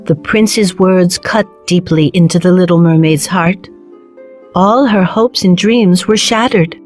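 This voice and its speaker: A woman narrating a children's fairy tale in a measured, clearly phrased storytelling manner. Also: on the low side. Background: background music.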